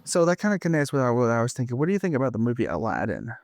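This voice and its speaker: A man's voice: mid-range voice, very animated, a little mellow, no echo. A man chatting on a school podcast, asking questions and restarting his sentences often. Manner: halting, repeats words while searching. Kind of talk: casual chat.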